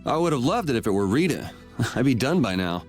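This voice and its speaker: A man's voice: medium pitch, very animated, fairly quick, close to an everyday voice. Guy doing a chill sarcastic young rogue from a game, mid voice, wry, faint music under him. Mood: wry, casual. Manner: laid-back, wry, teasing. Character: cool, sardonic young swordsman, laid-back rogue. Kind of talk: English video game dub acting.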